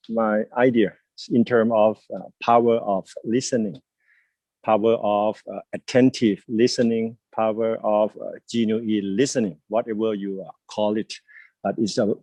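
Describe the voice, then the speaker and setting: Older Thai man with halting speech. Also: on the low side, darkish tone, no room sound, poor audio. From Thailand. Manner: halting, many fillers, gestures while talking. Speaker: host. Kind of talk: casual live video chat. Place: home, by a window with plants. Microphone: earphone mic on a video call.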